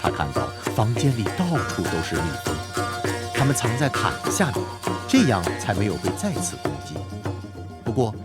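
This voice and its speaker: Animated male folk tale narrator. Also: on the low side, moderate pace. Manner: expressive storytelling. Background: music.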